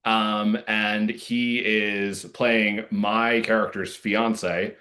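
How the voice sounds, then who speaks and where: A man speaking casually as a playwright and actor being interviewed, earnest, with halting phrasing and frequent use of "like". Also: fairly low pitch, little pitch movement, bright, forceful tone.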